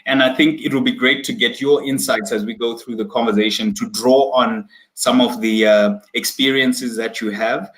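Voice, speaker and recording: A man's voice: medium pitch, steady tone, moderate pace, hardly any pauses, a bit bright, low-quality recording. A man hosting an online executive interview, upbeat and chatty, with many fillers and restarts. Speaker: host. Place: at home, video call. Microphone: video call.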